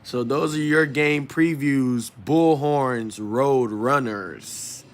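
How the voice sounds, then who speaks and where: A man hosting an informal sports game preview, speaking haltingly and often correcting himself. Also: mid-range voice. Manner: halting, stumbling delivery with self-corrections.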